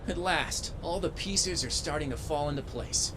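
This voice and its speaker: A man's voice: a little high, normal speed, background noise, lightly acted. A man playing a modest, eager young robot soldier in a video game, earnest and wry. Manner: earnest, wry, self-deprecating.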